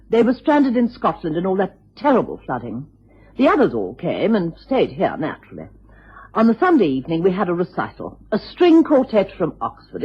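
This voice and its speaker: A muffled, rough-edged old radio drama recording of an aristocratic English widow. Her upper-class voice is genteel and formal, swinging expressively with theatrical dignity. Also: on the low side, heavily acted. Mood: stately.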